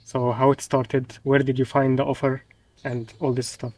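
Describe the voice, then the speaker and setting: A man's voice: medium pitch, flat delivery, moderate pace, a little mellow, distant mic. Male interviewer, slightly off mic. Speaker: host, interviewer. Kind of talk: casual YouTube interview.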